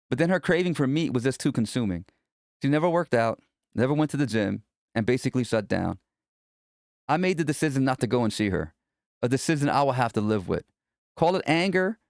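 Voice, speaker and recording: A man's voice: medium pitch, a little mellow, no room sound, studio quality. A man reading his own self-help book aloud in a conversational, motivational way, speaking directly to the listener. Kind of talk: scripted self-help audiobook narration.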